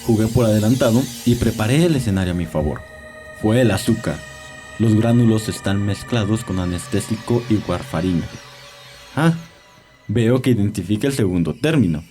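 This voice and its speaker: Prominent music runs behind a low male voice. A man acts out an elderly, cunning vampire posing as a physician in an audio story. He speaks with measured, courteous wisdom and cold, quiet menace. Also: expressive, natural voice. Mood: controlled, clinical. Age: older. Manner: courteous, measured, wise-sounding, coldly menacing. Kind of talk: dramatized audio story acting.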